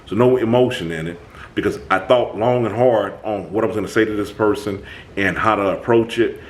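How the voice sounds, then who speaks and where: A man hosting his own channel, talking through a personal conflict in an emphatic, animated way. His voice is booming, and he often restarts his sentences. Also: brisk, a little hiss or hum. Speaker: channel host. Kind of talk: reflective self-talk monologue.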